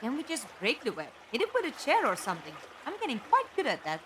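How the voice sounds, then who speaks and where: Female, acted, bright and animated, a blunt, feisty sci-fi companion for audio drama, music faintly behind. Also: a little high, fast talker, a little hiss or hum. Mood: practical. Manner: blunt, emotive. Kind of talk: fan audio drama acting.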